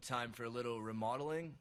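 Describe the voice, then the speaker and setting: Game cutscene role: self-assured rookie wrestler, mid-pitched, nonchalant and sarcastic. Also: male voice, vocal fry, a little hiss or hum. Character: cocky young male wrestling newcomer, self-assured and sarcastic. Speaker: wrestler character in a video game. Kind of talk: video game cutscene acting.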